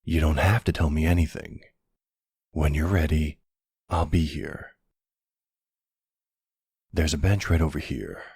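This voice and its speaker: A man playing an older mafia boss, a protective and tender lover, speaking soothingly in an intimate ASMR boyfriend roleplay with a gravelly, breathy voice. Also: extremely low pitch, darkish tone, no echo, clean studio sound, heavily acted. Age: middle-aged. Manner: soothing, unhurried, full of pet names.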